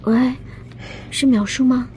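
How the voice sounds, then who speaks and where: High-voiced, earnest young woman. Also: fairly even intonation, slow pace, dark tone, soft background music. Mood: sleepy, polite. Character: polite, earnest young woman, quietly determined. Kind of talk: Chinese sci-fi radio drama acting.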